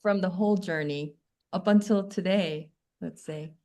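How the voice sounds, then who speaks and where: A woman's voice: fairly low pitch, measured pace, warm tone. A woman hosting an interview, laughing often and reflecting the guest's answers back. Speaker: interviewer host. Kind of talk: casual interview.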